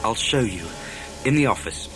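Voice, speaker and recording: A man's voice: fairly low pitch, expressive, moderate pace, loud music behind the voice, natural voice, low-quality recording. A man playing a grave, blunt colleague in an audio drama, speaking in short, terse phrases. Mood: grave, uneasy.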